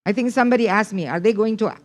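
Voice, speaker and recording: A woman's voice: medium pitch, rapid-fire. A woman with an Indonesian accent teaching a test preparation class online, repeating key points and checking in with the class. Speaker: English test prep teacher.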